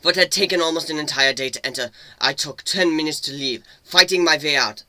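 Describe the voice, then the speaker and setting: Casting: male reader as gruff, war-weary robot pony, sharp and forceful, bitter and swearing, storybook drama. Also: fairly high pitch, a little fast, no echo. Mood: frantic, driven. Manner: intense, angry, curt, swearing. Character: battle-worn older male mechanical pony, bitter and gruff.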